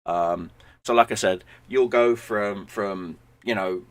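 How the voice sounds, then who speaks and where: A man, hoarse and losing his voice, chatting loosely to viewers at home at the end of a stream, rambling and repeating words. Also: on the low side, takes it slowly. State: losing his voice, sinus infection. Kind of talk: casual stream sign-off chat.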